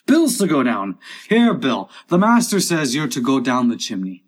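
A man voicing squabbling storybook workmen who brisk and busily call out orders to one another. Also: mid-range voice, wildly swooping pitch, husky. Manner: brisk, calling out. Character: bustling, squabbling male workmen calling out orders.

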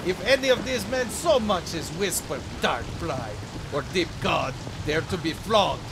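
A man acts out a haughty, war-hardened elven admiral in a fantasy audio play. His voice is high and swings widely as he barks scornful orders. Rain and storm noise are clear behind him. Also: expressive, normal speed. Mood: grim resolve. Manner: commanding, scornful, barking orders. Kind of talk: fantasy audio drama acting. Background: rain and storm at sea.

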